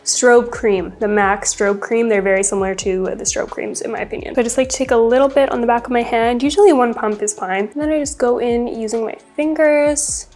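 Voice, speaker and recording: A woman's voice: on the high side, fairly even intonation, a little fast, few pauses, darkish tone, soft background music. A young woman with a congested voice, chatting casually through a makeup routine as a beauty vlogger. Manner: chatty, upbeat, rambling product talk. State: congested from a cold. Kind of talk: casual get-ready-with-me chat.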